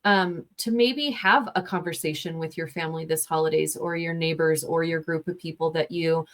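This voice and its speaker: A woman film reviewer hosting an interview, chatty and lively, talking in a casual way with lots of fillers. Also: low voice, normal speed.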